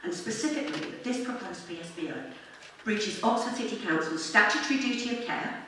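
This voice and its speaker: A woman's voice: a little high, lively intonation, fairly quick, fairly bright tone. Middle aged woman doing a prepared public speech, articulate n earnest, really echoey, bit of noise. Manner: earnest, formal. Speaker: member of the public addressing a council. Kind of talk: prepared formal public address. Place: council meeting chamber. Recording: very echoey room, distant mic, faint noise.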